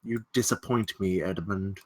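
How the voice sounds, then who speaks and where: An actor at a film script table read playing a ruthless armed villain, threatening, taunting and coldly scornful. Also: male voice, low-pitched, normal speed, lightly acted.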